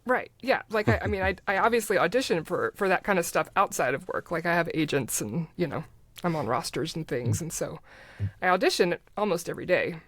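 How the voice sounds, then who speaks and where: A woman voice actor telling stories casually as a podcast guest, enthusiastic, expressive and informal. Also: fairly low pitch, fairly quick, dry recording. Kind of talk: casual conversational storytelling.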